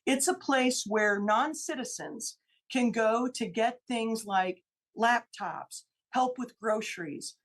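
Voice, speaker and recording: Female lawmaker guest, from Illinois, on talk radio: forceful, repeats her figures, voice sits a little high. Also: slow pace. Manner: emphatic, repeats key figures for stress. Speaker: state senator guest. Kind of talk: radio interview, political argument.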